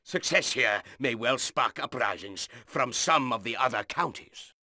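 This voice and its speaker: A man playing a stern noble rebel lord, giving a game mission briefing with formal, measured authority. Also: mid-range voice, animated, bright, forceful tone, no echo, heavily acted. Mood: formal, resolute. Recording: music under the voice.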